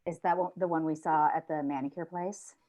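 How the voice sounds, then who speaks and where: A woman's voice: low-pitched, steady tone, fairly quick, dark tone, lightly acted. A woman acting a wry, teasing office coworker in a sitcom table read, sarcastic, on muffled video call audio.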